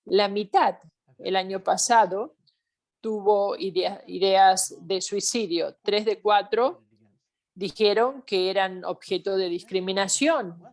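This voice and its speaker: Woman interpreting a lecture, halting pauses. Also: medium pitch, darkish tone. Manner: halting delivery with pauses and restarts. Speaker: simultaneous interpreter. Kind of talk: interpreting a health training webinar.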